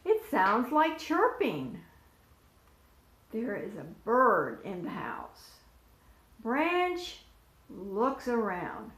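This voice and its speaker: A woman's voice: a little high, wildly swooping pitch, extremely slow, pauses often, fairly bright tone. A woman volunteer reading a picture book aloud to preschoolers, asking them questions and pointing out the pictures. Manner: asks young listeners questions and points to pictures. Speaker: volunteer storyteller. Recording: echoey room.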